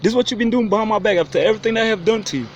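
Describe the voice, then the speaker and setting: A man's voice: high-pitched, racing. Laid-back man. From South Sudan. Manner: laid-back, street slang fillers. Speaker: interview guest. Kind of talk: casual interview talk. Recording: muffled with faint background noise.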